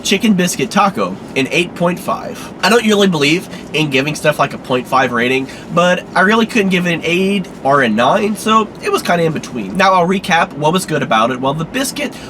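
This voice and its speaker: A man hosting a casual food review vlog, talking in an easygoing, rambling way with many fillers, sounding a little congested and hoarse. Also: fairly high pitch, big pitch swings, fairly quick, hardly any pauses, noisy background.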